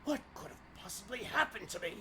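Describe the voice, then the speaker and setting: A man's voice: high-pitched, lively intonation, fairly quick, background noise. A man playing an earnest, troubled superhero thinking aloud in the melodramatic style of 1940s radio drama. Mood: desperate. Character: heroic male superhero, righteous, troubled and straining.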